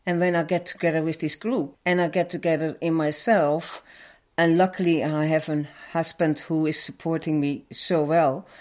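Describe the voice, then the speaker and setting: Low-voiced older Dutch woman. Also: darkish tone. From Netherlands. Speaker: activist interviewee. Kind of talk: personal interview answer.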